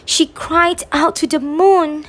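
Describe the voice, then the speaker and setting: A woman reading a children's story aloud in an expressive, drawn-out way meant for young listeners. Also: very high voice. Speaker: storybook reader on a children's channel.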